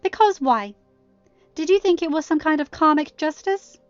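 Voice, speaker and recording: A woman plays a fiery young woman in a storybook reading, her pitch lifted above everyday talk. She is firm, sarcastic and emphatic, proud and wounded. The sound is slightly dull and close, with music underneath. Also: expressive, moderate pace, dry recording. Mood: defiant anger.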